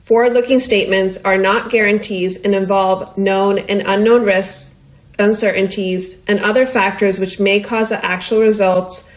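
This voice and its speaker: A woman with a medium-pitched voice reads a prepared corporate statement. Her delivery is formal and neutral, as an investor relations director opening a call. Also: fairly even intonation, normal speed. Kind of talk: scripted legal disclaimer reading.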